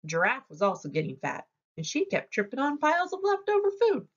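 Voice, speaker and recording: A woman reading a children's story aloud in an expressive, deliberate storytelling manner. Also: high voice, highs cut off. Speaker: storybook reader.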